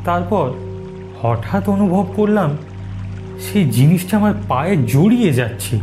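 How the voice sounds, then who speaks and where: Male horror narrator, fairly high-pitched, dramatic suspenseful pacing with pauses, music underneath. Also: lively intonation, dark tone. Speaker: narrator of an animated horror story. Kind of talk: dramatic first-person scripted storytelling.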